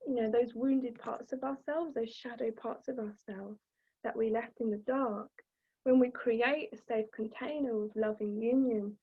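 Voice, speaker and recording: A woman giving a flowing, gentle spiritual talk on astrology, filling her sentences with small fillers as she goes. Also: a little high, fairly even intonation, a little slow, breathy edge. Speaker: astrology presenter. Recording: tinny phone-like sound.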